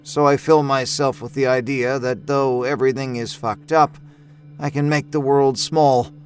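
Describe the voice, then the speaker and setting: A man's voice: mid-range voice, fairly even intonation, normal speed. Guy reading a creepy first person story, wry and understated, music playing under him. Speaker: audio fiction narrator. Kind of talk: scripted first-person horror story narration. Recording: narration over musical score.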